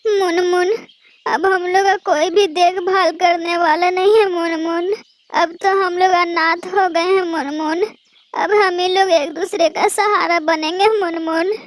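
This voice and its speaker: A woman's voice: very high-pitched, steady tone, unhurried, dry recording. A woman voicing a sad, pleading young girl bird in a Hindi cartoon. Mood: tearful, pleading. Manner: pleading, repetitive, plaintive.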